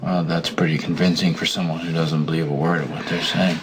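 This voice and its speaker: An actor playing a brooding, troubled young man in a television soap drama, intense but low-key. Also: level tone, brisk, noisy background. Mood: bitter, subdued. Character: brooding, bitter, troubled young man pushing loved ones away. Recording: prominent music score under dialogue.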